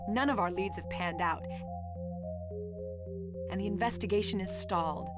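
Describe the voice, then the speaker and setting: An actress playing a steady, protective policewoman in a horror video game, speaking in a deliberate, matter-of-fact, stilted way. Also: mid-range voice, lightly acted. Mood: skeptical. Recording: game audio under loud music.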